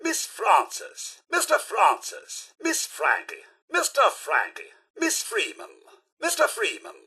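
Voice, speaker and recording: An actor playing a polite, fussy robot butler in a video game, addressing people formally in short clipped phrases. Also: male voice, very high voice, wildly swooping pitch, slow, bright, forceful tone, exaggerated voice. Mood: formal, courteous. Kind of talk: video game character voice lines, names read one by one.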